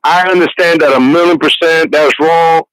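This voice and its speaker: A man's voice: on the high side, steady tone, moderate pace, a bit bright, dry recording, poor audio. A man in his twenties from New York City, calling in to chat casually and rambling with many restarts. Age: 20s. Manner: rambling, restarts often, laughs easily. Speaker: caller. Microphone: phone call.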